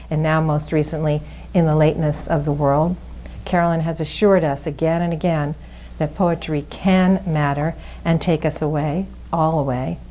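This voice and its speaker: A woman with a soft, low-pitched voice moderates a public event. She reads a formal introduction in measured, literary phrasing. A faint hiss of noise runs underneath. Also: poor audio.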